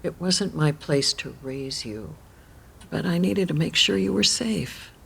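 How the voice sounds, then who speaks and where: A woman playing a kindly, cryptic elderly widow in an audio drama, gentle and measured with a husky, creaky voice. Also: low-pitched, exaggerated voice. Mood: calm, cryptic. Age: older.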